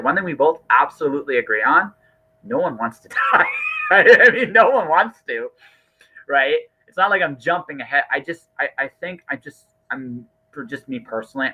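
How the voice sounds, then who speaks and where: A man's voice: on the high side, husky, dull audio. A middle-aged man hosting a casual podcast conversation, earnest and rambling, often repeating his words. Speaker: podcast host.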